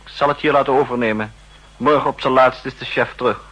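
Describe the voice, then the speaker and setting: An actor playing an ambitious, brisk deputy intelligence officer with a raspy voice in a 1960s radio drama. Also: male voice, medium pitch, steady tone, a bit bright, rough recording. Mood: brisk, questioning.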